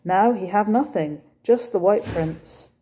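A woman's voice: mid-range voice, unhurried, warm tone, natural voice. A woman voicing a weary, sorrowful French cafe owner who speaks broken English with a French accent. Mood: resigned. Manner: broken English, mournful, emphatic. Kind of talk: storybook character voice.

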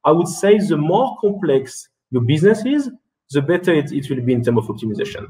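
A man in his thirties giving a business presentation over a video call and answering questions, speaking haltingly with frequent restarts and fillers. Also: fairly high pitch, big pitch swings, dark tone, poor audio. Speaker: keynote speaker. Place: indoor room.

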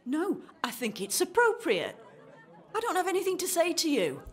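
A woman's voice: extremely high pitch, huge pitch swings, moderate pace, some chatter behind, heavily acted. An actress in a radio play playing a jaded, anxious single woman in her thirties, sarcastic, dramatic and emphatic. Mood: cold, scornful. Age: 30s. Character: jaded, anxious 35-year-old single woman unravelling at speed dating.

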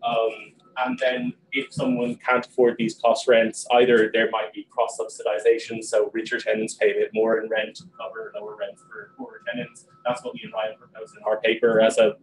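A young man on a panel with a low, rather flat voice. He talks quickly and fills his sentences with ums and likes. A faint hiss from a camera across a small meeting room sits under him. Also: low-quality recording. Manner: quick, animated gesturing, many ums and likes. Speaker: panel guest. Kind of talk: panel discussion.

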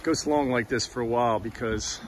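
Man in his fifties, casual sailing vlog, relaxed chatty talk, phone held at arm's length on deck, loud engine and wind behind. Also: mid-range voice, a little fast. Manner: relaxed, chatty, with frequent fillers. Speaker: sailing vlogger. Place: outdoors on a sailboat at sea. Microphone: handheld phone camera at arm's length. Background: boat engine and wind noise.

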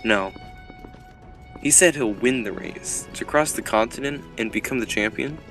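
A man's voice: mid-range voice, moderate pace, fairly bright tone, faint music behind, close to an everyday voice. A man playing an intense rival jockey in a dramatic manga fandub, questioning and analysing in an inner monologue. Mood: tense, doubting. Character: intense male rival jockey sizing up an opponent.

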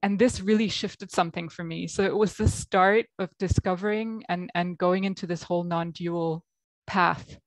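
A woman's voice: on the low side, fairly even intonation, normal speed, no room sound, rough recording. A woman from Belgium with an American accent, a guest coach on a video call, talking reflectively with many ums. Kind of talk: interview over video call. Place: home room.

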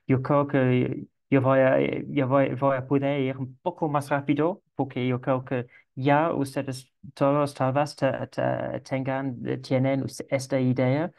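Man, moderator, video call, halting, restarts, mid pitch. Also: level tone, vocal fry, a little mellow. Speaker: session moderator. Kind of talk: online consultation session.

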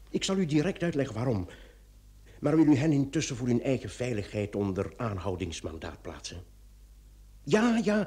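A man's voice: mid-range voice, mellow, natural voice. An actor playing an earnest, anxious police commissioner in a radio play, emphatic and often repeating his words. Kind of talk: Dutch-language radio drama acting.

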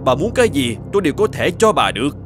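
A man voicing a courteous, grateful new father from a magical kingdom in an animated fairy tale, polite and eager. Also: fairly high pitch, fast, music in the background. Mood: generous, insistent.

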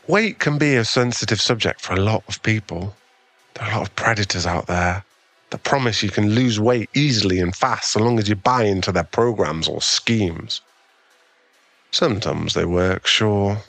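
A man's voice: lowish voice, fairly bright tone, dry recording. A man playing an awkward, kind young gym owner with a crush in an ASMR boyfriend roleplay, speaking in an earnest, hesitant, stammering way.

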